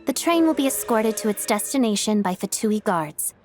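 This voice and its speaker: An actress voicing a poised, principled young dancer and officer in a game dub, composed, polite and businesslike. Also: female voice, on the high side, expressive, moderate pace, darkish tone, soft background music, natural voice. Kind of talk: English game dub dialogue.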